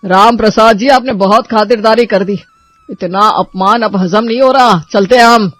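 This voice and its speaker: A man's voice: sits high, moderate pace, a bit bright, dry recording. A man playing an offended, indignant suitor's parent in a cartoon story. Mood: offended, angry. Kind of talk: Hindi cartoon story voice acting.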